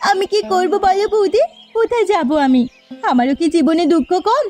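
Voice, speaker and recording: A woman voicing a meek, gentle young widowed daughter-in-law in a cartoon, plaintive and soft-spoken. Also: extremely high pitch, animated, moderate pace, soft background music. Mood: sorrowful, resigned. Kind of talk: Bengali cartoon fairy-tale acting.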